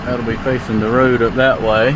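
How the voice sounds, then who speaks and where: A man giving a relaxed, plain-spoken tour of his land, walking and then driving. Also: mid-range voice, normal speed. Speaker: landowner showing his farm. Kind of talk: casual walk-and-drive tour narration. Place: outdoors in fields, then inside a moving pickup truck. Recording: clear background noise of truck engine and outdoors.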